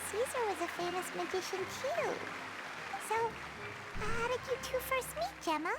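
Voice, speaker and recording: A woman voicing a tiny, childlike floating fairy companion in a video game, squeaky, chatty and gushing. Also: animated, moderate pace, very noisy. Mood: curious, gushing. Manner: gushing, exclamatory, refers to herself by name. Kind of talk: animated video game dialogue. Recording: music behind the voice.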